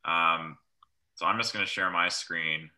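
Young male planner, low pitch, sharp forceful tone, halting informal explainer over video call. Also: steady tone. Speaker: rural planner presenting at a public meeting. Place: small room at home, on a video call. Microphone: computer microphone on video call.

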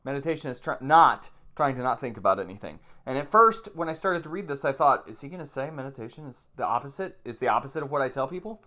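A man's voice: mid-range voice, animated, fast, hardly any pauses, pushed and bright. A man around forty, a plain-spoken meditation teacher who reads aloud and explains things informally, repeating points for emphasis. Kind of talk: reading aloud from a book with informal commentary. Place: small room at home, indoors.

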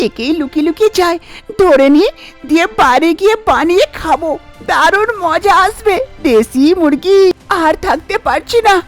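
Cartoon young daughter-in-law , played by a woman: greedy, sly, high and lively, scheming to herself. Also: normal speed, soft background music. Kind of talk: Bengali cartoon fairy-tale voice acting.

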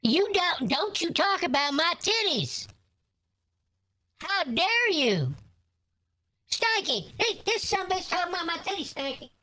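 A woman voicing a crude, loud old grandma who hurls vulgar insults on a prank call. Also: fairly high pitch, expressive, moderate pace. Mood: outraged. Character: crude foul-mouthed brash old grandma, quick to anger. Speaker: prank caller baiting a scammer.

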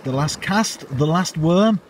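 A man's voice: a little high, lively intonation, a little hiss or hum. Chatty middle-aged man on seaside rocks. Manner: chatty and unhurried, with asides to the viewer. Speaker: fishing vlogger. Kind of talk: casual outdoor narration to camera. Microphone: phone camera at arm's length.

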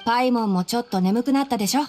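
Anime game boy ranger, voiced by a woman: fairly high, gentle, practical, considerate. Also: racing, faint music behind, natural voice. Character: gentle, practical young male forest ranger, boyish.

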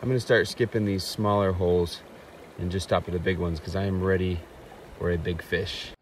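Young man narrating by a rushing creek. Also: sits low. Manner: chatty, excitable running commentary. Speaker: fishing channel host. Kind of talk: casual vlog narration while fishing. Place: outdoors by a snowy mountain creek. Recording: clear outdoor stream noise behind. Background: rushing stream water.

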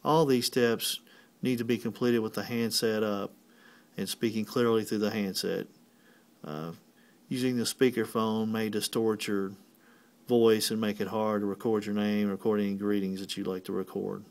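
A man's voice: on the low side, little pitch movement, normal speed. A man giving a step-by-step tutorial as a technician, explaining plainly and methodically. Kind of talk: instructional step-by-step walkthrough.

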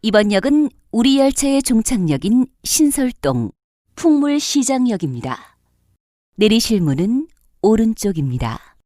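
A woman giving recorded subway announcements in a polite, measured, formal manner, recorded in a studio. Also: fairly high pitch, sing-song, dark tone, dry recording.

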